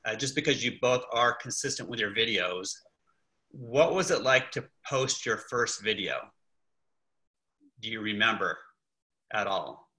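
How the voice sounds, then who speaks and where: A man's voice: on the low side, expressive, a little slow, pauses often, bright, forceful tone. A man in his forties hosting a video call panel from his living room, asking friendly questions with uh fillers. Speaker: host. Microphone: laptop webcam on video call.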